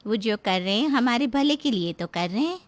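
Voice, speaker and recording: A woman's voice: a little high, lively intonation, normal speed, voice pushed up, lightly acted. A woman voicing a dutiful, long-suffering middle-aged mother-in-law in a moral story, reasoning earnestly. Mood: placating. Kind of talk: acted dialogue in a moral story.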